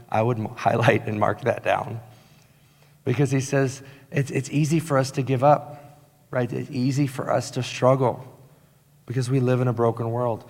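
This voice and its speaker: A man preaching an earnest sermon with a raspy voice, slowing down to stress key lines. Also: mid-range voice, a little mellow. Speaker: pastor. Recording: long hall reverb.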